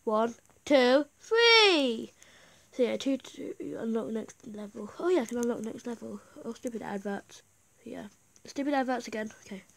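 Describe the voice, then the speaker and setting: A gaming YouTuber with a high voice, affected by a cold, giving casual, rambling, excitable gameplay commentary. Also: normal speed, gravelly, low-quality recording. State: has a bad cold.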